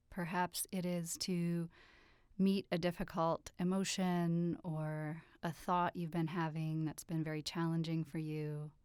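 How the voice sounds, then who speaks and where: A woman leading a guided relaxation meditation with soothing, gentle instructions and unhurried pauses. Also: lowish voice, smooth, dry recording, clean studio sound. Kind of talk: guided meditation, yoga nidra.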